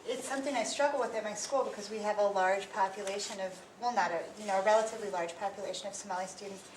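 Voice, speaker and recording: A woman's voice: mid-range voice, racing. A woman in the audience asking an earnest question. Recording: distant, echoey, noisy room.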